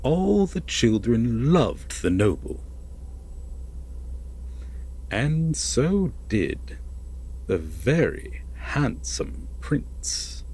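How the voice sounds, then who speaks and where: Man acting obsessive male lover, intimate audio drama, very slow deliberate delivery, lively pitch swings, husky, coaxing storyteller. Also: heavily acted. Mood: ominous storytelling. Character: possessive, obsessive lover telling a veiled fairytale.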